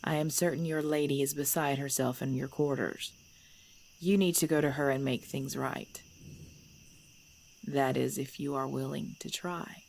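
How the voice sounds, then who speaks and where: A woman playing an ancient, composed vampire in a supernatural ASMR drama, speaking in measured, formal, deliberate phrases. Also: rumbling low, creaky. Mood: firm, gentle. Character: ancient female vampire, composed, knowing, darkly playful. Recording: steady background noise with chirping crickets.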